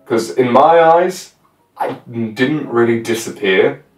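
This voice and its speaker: A man's voice: medium pitch, expressive, slow, recorded from a distance. A man in his twenties chatting casually and telling stories as a guest, recorded in a small room. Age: 20s. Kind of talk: casual chat. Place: small bedroom indoors. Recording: roomy echo, off the mic, faint music.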